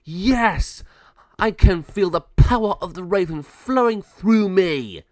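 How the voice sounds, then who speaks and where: A man's voice: medium pitch, very animated, a little slow, dry recording, clean studio sound. A man voicing a scheming, sneering female sorceress villain in a storybook tale. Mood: gloating. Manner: sneering, gloating.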